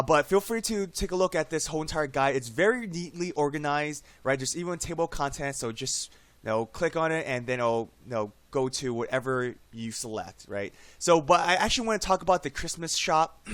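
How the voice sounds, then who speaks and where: Man, slightly hoarse, somewhat high voice, chatty tutorial talk, dry close sound. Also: fairly bright tone, no room sound. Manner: chatty, frequent "right?" tag questions. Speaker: game guide YouTuber. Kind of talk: casual screen-recorded tutorial.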